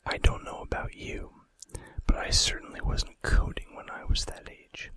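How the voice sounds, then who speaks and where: A man narrating facts for an ASMR video in a breathy, unvoiced whisper with casual, conversational asides. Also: moderate pace, pushed and bright, dry recording.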